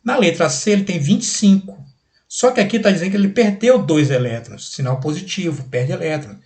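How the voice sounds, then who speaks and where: A man's voice: high-pitched, a little fast, darkish tone. A man with a Brazilian accent teaching a recorded lesson, explaining exercises step by step with repeated steps and frequent check-in questions. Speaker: chemistry teacher.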